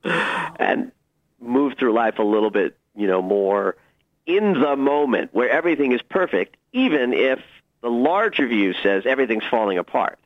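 A slightly lo-fi radio recording carries a man with a mid-pitched, very lively voice. He explains as a guest expert in conversation, stressing key words with expressive, emphatic phrasing. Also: normal speed. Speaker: guest astrologer.

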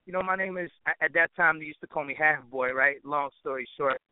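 A man's voice: fairly high pitch, a little fast, phone-quality sound, slight background noise. A man chatting casually as an interview guest, with informal, slangy phrasing and frequent "you know" asides. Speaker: interview guest, musician and producer.

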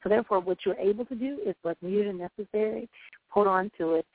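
Gravelly-voiced woman hosting call-in radio. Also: mid-range voice, quick pace, dry recording. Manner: encouraging, uplifting, preachy. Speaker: host. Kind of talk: call-in talk radio, motivational. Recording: dry, tinny phone-like line.

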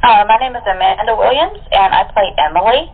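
A woman's voice: a little high, expressive, fast talker, husky. A woman chatting casually as an interview guest about the part she acts. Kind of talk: casual chat. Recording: tinny phone-line sound.

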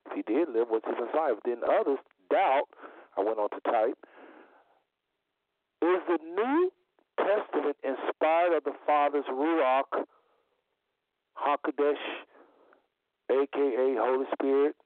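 A man hosting a religious call-in show in a deliberate, repetitive way, his voice slightly under the weather. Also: a little high, dry recording. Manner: deliberate, repeats phrases for emphasis. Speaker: talk show host. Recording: phone-like internet radio audio.

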